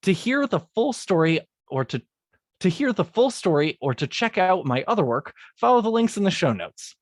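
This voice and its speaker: A somewhat high-voiced man, a guest composer, who stumbles and restarts in a halting, self-described mealy-mouthed way. His voice is recorded close and dry, with no room echo. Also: sing-song. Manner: hesitant, halting, calls himself mealy-mouthed. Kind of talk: casual chat and reading an outro.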